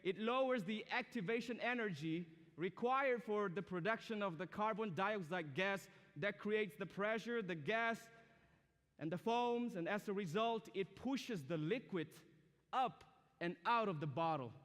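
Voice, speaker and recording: A man's voice: sits high, unhurried, a bit bright. A young man from Myanmar preaching a sermon to a student audience, chatty and direct, asking the crowd questions. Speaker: student speaker. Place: large church or university auditorium. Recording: long hall reverb from a live auditorium.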